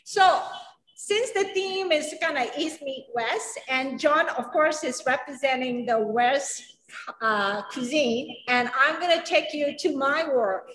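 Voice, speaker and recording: Middle-aged woman chef, unhurried and chatty, kitchen with long reverb. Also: high voice, a bit bright. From Singapore and Malaysia. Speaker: chef and culinary educator. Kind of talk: cooking demonstration. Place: large commercial kitchen. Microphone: camera at a distance.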